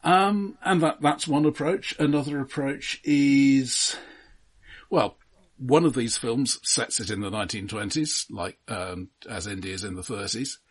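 Man's slightly husky, analytical podcast voice. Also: mid-range voice, unhurried. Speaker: podcast co-host. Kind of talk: casual film discussion.

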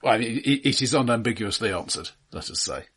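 Husky, bright man. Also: mid-range voice, lively intonation, fast talker. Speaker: film discussion podcast host. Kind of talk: casual film review chat.

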